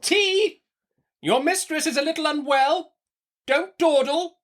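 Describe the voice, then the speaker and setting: A man's voice: extremely high pitch, slow, a bit bright, pitched above the performer's own voice, exaggerated voice. A man voicing an imperious, brusque older woman, a domineering great-aunt in an audiobook of a classic novel, with an emphatic, commanding manner. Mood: indignant, commanding.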